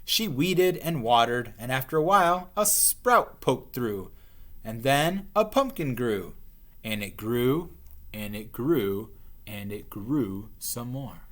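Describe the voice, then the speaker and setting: Slow, animated man reading to children. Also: medium pitch. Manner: expressive, stretches words for young listeners. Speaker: teacher reading aloud to young children. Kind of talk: storybook read-aloud with explanations for children.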